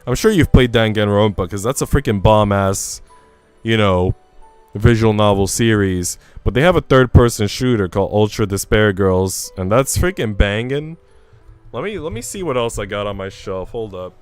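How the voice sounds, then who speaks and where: A man's voice: lowish voice, lively intonation, normal speed, clean tone, no room sound. A man chatting casually on a game livestream in an informal, slangy, rambling way. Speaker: game streamer. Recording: music playing behind the voice. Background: music.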